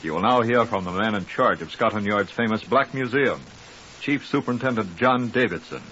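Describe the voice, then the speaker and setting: A man's voice: fairly low pitch, fairly quick. A man announcing a programme in a formal 1950s radio style. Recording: muffled, crackly old radio recording.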